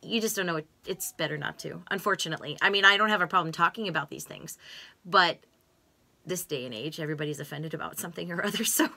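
Expressive, bright-voiced American woman. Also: fairly low pitch, quick pace, gravelly. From United States. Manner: expressive, gestures with hands, emphatic repetitions. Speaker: interviewee, co-host. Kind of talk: casual conversation. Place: small home room with bookshelves, indoors. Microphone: desk microphone on stand.

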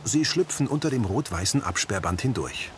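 A man's voice: mid-range voice, very animated, moderate pace, slight background noise. A man narrating scenes in a scripted, present-tense audio description.

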